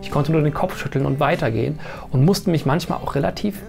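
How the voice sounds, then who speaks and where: A man narrates his vlog in a scripted voice-over with a gravelly, creaky texture. His delivery is reflective and measured, and soft music plays underneath. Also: a little high. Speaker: vlogger.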